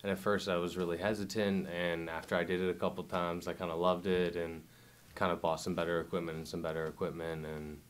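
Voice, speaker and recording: A man's voice: low-pitched, level tone, fairly quick, smooth. A man talking casually in a documentary interview, laid-back and rambling with lots of fillers.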